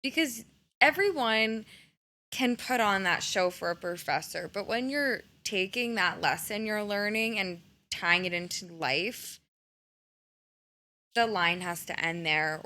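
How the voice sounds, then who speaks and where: Woman, podcast co-host, bright and sharp voice, quick agreeing remarks. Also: on the low side. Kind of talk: casual podcast chat.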